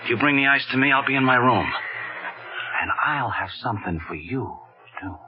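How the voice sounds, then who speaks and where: A man's voice: mid-range voice, expressive, moderate pace, close to an everyday voice. An actor playing a pushy, menacing gangster killer in an old-time radio drama, with slangy tough-guy talk. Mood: pushy, insistent. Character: brash, menacing gangster killer hunting stolen diamonds. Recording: muffled, noisy old radio drama broadcast.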